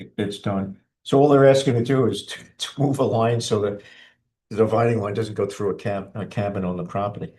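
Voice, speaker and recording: Male meeting chair, lower-pitched voice, plain-spoken and informal. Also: steady tone, fairly quick, darkish tone. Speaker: planning board chair. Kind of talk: running a town board meeting.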